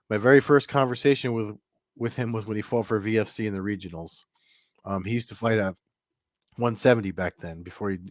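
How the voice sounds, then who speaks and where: A man's voice: fairly low pitch, no room sound, studio quality. A man hosting a casual sports news video, slightly congested from a cold and rambling with lots of fillers. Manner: rambling, many fillers and restarts. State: congested, getting over a cold. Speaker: MMA news host. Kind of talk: casual solo commentary to camera. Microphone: camera mic.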